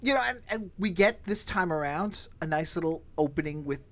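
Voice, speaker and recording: Animated male podcast host. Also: on the high side, normal speed. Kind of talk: casual TV episode discussion.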